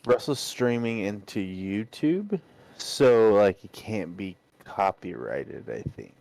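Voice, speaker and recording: Male role-play gamer, casual delivery, slightly low pitch, very little room sound. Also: big pitch swings, slow pace, gravelly, darkish tone, no echo. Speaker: tabletop game player. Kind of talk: casual first-person role-play chat.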